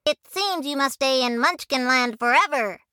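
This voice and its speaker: These are small, kind-hearted little men from a storybook radio play, voiced by a woman narrator. The voice is high and gentle, sincere rather than comic. It is recorded dry and close. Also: moderate pace, no room sound.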